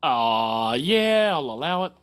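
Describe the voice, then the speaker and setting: A man's voice: a little high, sing-song, slow pace, fairly bright tone, smooth. A man running a tabletop game casually, rambling, swearing and interrupting himself. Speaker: game master.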